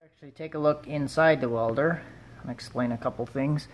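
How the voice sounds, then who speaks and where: A man's voice: medium pitch, a little fast, heavy background noise. Young man casually narrating a garage welding job. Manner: relaxed, thinking aloud while working. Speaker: DIY welding vlogger. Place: home garage. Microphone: camera mic, moving between close and far.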